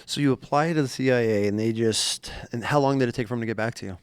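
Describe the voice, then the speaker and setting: A man's voice: medium pitch, fast talker, smooth, no room sound. An American man hosting an interview, asking casual, conversational questions. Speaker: podcast host, interviewer.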